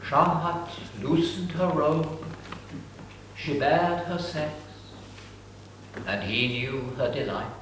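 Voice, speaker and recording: A man's voice: fairly high pitch, slight background noise. A man narrating an ancient epic in a declamatory, solemn dramatic reading. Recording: distant mic, echoey room.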